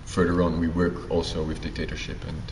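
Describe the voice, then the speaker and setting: A deep-voiced man speaks thoughtfully, pausing often on fillers. He sounds distant in an echoey room with steady background noise. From Netherlands. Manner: thoughtful, hesitant with many fillers. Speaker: theatre performer. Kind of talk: festival interview.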